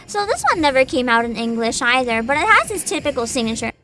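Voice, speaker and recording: A woman's voice: high voice, very animated, fast talker, pushed and bright, faint music behind. A young woman streaming as a VTuber, chatting casually with her viewers, giggling often and reading game descriptions aloud. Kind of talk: casual livestream chat.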